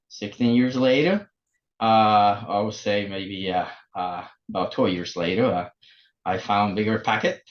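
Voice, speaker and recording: A man in his forties from El Salvador, speaking English, telling his story as a podcast guest with many pauses and fillers. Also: lowish voice. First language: Spanish. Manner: halting, many fillers and restarts. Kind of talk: casual interview. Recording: muffled lo-fi remote audio.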